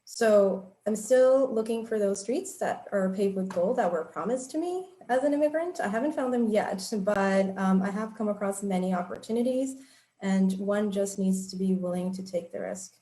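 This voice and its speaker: A woman's voice: medium pitch, normal speed, warm tone. A woman in her thirties from Pakistan, a panelist who tells her personal story in a reflective way with a touch of dry humor. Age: 30s. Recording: echoey room.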